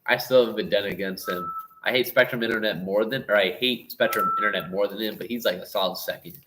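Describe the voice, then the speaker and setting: A young man hosting a casual livestream, rambling and stop-and-start, a little hoarse. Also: fairly low pitch, fast, background noise. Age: young adult. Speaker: livestream host.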